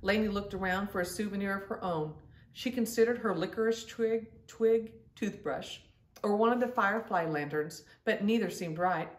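A woman's voice: mid-range voice, normal speed. Middle-aged female storytime host, mid-range voice, reading to children from a little way off the mic in a roomy space. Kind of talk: read-aloud children's storytelling. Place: indoor room at home. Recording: roomy, distant-mic home recording.

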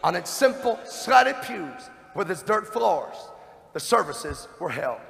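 A man's voice: high voice, big pitch swings, takes it slowly, vocal fry, fairly bright tone, exaggerated voice. A man acting a dignified, fervent revival witness of the early 1900s, narrating a stage play with solemn, dramatic emphasis. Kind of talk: theatrical monologue in a stage play. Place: stage of a large dark theatre. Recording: echoey hall, music behind.

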